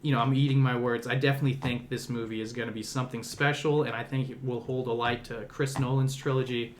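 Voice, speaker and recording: A man's voice: mid-range voice, fairly even intonation, quick pace, a little hiss or hum. A young man hosting a podcast, discussing movies casually and conversationally, often padding his points with small hedges. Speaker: podcast host.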